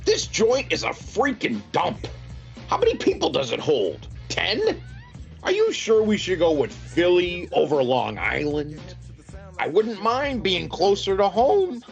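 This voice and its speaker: A woman playing a creaky, grumbling wrestling boss. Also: fairly low pitch, wildly swooping pitch, unhurried, dull audio, faint music behind, exaggerated voice. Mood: skeptical. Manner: complaining, incredulous. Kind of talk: comedic audio play acting.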